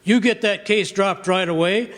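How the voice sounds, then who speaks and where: A man playing a gruff, irate department store boss in a radio drama, blustering and commanding. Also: sits high, moderate pace, fairly bright tone. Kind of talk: stage radio drama acting. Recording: echoey hall, long reverb.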